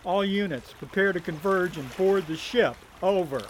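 Squad-leading lawman played by a man, high-pitched, clipped and commanding, radio serial over loud background noise. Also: normal speed, natural voice. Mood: urgent commanding. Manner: barking, clipped orders. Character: commanding male federal agent leading a raid squad. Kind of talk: 1930s radio drama acting.

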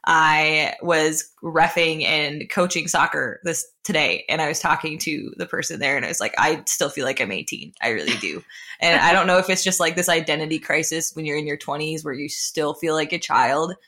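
A woman's voice: sits low, normal speed, sharp tone. A woman in her twenties with an American accent, chatting casually and telling informal stories as a podcast co-host. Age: 20s. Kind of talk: casual chat.

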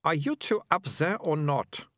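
A bossy, self-assured young girl in a storybook, voiced by a male narrator. The voice is raised high and leaps about with dramatic insistence, recorded dry and close. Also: huge pitch swings, moderate pace, fairly bright tone, husky, no room sound, full character voice. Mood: annoyed, suspicious.

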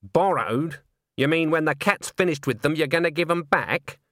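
A man takes the part of a cold, stern old woman in a storybook. She speaks curtly and sceptically, pitched above his natural voice. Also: normal speed, fairly bright tone, dry recording, studio quality, close to an everyday voice. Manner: curt, sceptical.

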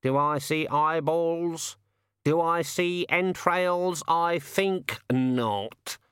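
A man's voice: fairly high pitch, extremely slow, a bit bright, clean tone, no echo, clean studio sound, pitched above the performer's own voice. A man voicing a grumbling, self-regarding raven with a colloquial, complaining manner in a storybook reading. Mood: aggrieved.

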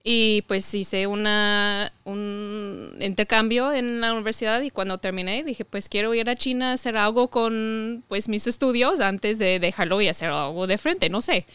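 Guest, woman from Ohio, 30s, non-native speaker, halting and casual, very clear and dry. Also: medium pitch, a bit bright, no echo. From United States (Ohio). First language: English. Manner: hesitant, many fillers and restarts. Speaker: podcast guest. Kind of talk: casual interview conversation.

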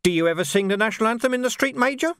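A man's voice: sits high, animated, racing, fairly bright tone, smooth, no echo, studio quality, voice pushed up. A man voicing a menacing, unhinged male sergeant who talks with sneering mock politeness.

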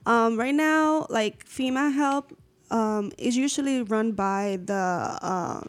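A woman from Puerto Rico speaks as a radio interview guest, pausing on many ums. She talks slowly, and her voice sits a little high. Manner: hesitant, many fillers.

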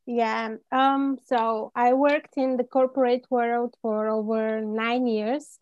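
A woman from Bulgaria with a Bulgarian accent gives personal interview answers. Her voice is somewhat high and her delivery very slow and halting, with non-native phrasing. The recording is dull and lo-fi. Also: flat delivery, darkish tone. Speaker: interview guest, life coach. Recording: muffled, echoey room sound.

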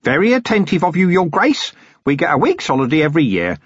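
A man's voice: fairly high pitch, wildly swooping pitch, fast talker, breathy edge, dry recording, voice pushed up, full character voice. A man voicing a cheerful, talkative old male hermit who rambles eagerly and deferentially. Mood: eager chatter. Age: older. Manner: eager, rambling, deferential, chuckling at his own jokes. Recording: dull, dry, lo-fi recording.

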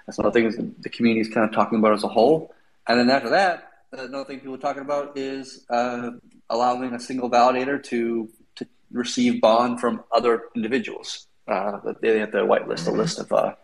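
A man's voice: mid-range voice, low-quality recording. A man with a cold, sounding sniffly, giving a casual, rambling technical update with many fillers on a live audio room. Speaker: developer guest.

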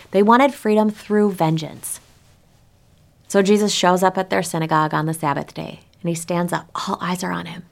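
A woman's voice: mid-range voice, vocal fry. Woman preaching a conversational sermon. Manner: rhetorical questions, addresses listeners directly. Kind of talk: sermon, prepared but conversational delivery.

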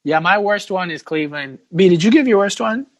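A man's voice: sits high, lively intonation, fairly quick. A man co-hosting a casual sports podcast, joking and steering the banter playfully. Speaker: podcast co-host.

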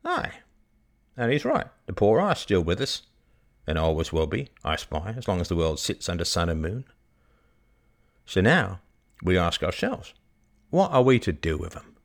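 A man voicing a blunt old rustic farmer who speaks in a folksy, deliberate way. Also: sits low, huge pitch swings, gravelly, heavily acted. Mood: gruff persuasion.

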